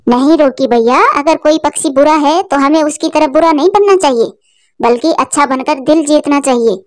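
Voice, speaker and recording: A woman's voice: high voice, moderate pace, no room sound, clean studio sound, close to an everyday voice. A woman voicing a kind, sensible little female sparrow in a children's story cartoon. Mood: gently firm. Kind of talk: Hindi children's moral story cartoon dialogue.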